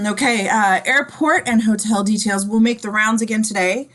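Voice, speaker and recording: A woman playing a blunt, weary male police chief in a table read, with halting delivery. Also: mid-range voice, steady tone, natural voice. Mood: businesslike, weary. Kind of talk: screenplay table read acting.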